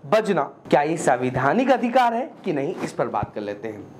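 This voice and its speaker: A news presenter speaks in a medium-pitched voice. The delivery is instructive, walking viewers through points by asking and answering questions. Some faint noise sits behind the voice. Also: sing-song, moderate pace, darkish tone. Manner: didactic, poses questions and answers them. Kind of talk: explainer news commentary addressed to viewers. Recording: clearly noisy background.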